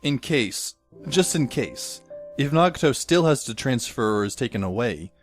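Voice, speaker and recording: Man playing a wry, animated schoolboy narrator. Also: mid-range voice, moderate pace, faint music behind, close to an everyday voice. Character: wry, skeptical teenage schoolboy narrating his own story. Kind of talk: first-person audio drama narration.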